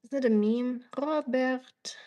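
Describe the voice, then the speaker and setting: Streamer: woman, German accent, native German speaker, rambling, chatty, fairly high pitched. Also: warm tone, muffled recording. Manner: rambling and chatty, quick asides, teasing. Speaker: livestreamer. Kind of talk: casual livestream chat answering viewers.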